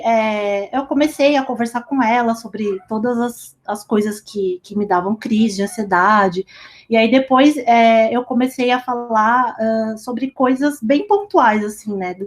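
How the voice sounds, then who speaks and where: A young woman with a fairly high voice talks casually into a remote call. She speaks in loose, chatty runs and leans on little fillers as she goes. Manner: chatty, lots of fillers like "sabe" and "tipo". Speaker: speaker at a company talk. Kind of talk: casual personal talk. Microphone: remote call recording.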